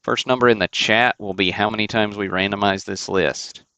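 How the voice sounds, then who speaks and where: A deep-voiced man hosts a card break stream from his desk, chatting casually and thinking aloud as he works. His voice has a rough, gravelly texture. The sound is dry and close to the mic. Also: fairly even intonation, normal speed, bright, forceful tone, no room sound. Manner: chatty, thinking aloud with many fillers and restarts. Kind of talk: casual livestream commentary.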